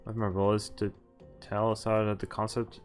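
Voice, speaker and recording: Low-voiced man streaming a game casually. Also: completely flat delivery, fairly quick, faint music behind. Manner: informal, sweary, thinking aloud. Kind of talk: casual playthrough commentary, reading game dialogue aloud.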